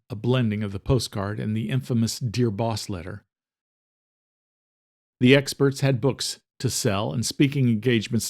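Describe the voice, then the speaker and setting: A male audiobook narrator with a medium-pitched voice. He reads a scripted thriller in a measured, dramatic storytelling style. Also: a little mellow.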